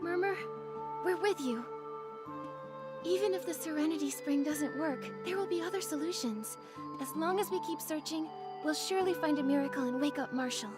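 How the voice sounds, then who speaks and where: A woman's voice: sits high, moderate pace, over loud music, close to an everyday voice. A woman voicing an optimistic, steadfast young companion in a video game, earnest and encouraging. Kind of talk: video game dialogue acting.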